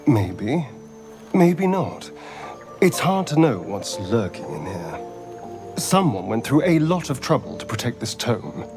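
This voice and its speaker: An actor playing an elegant, irritable elf rogue in a fantasy video game, with an arch, theatrical manner. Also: male voice, medium pitch, very animated, slow pace. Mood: musing, wry. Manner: arch, theatrical, clipped asides. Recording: game music behind the voice.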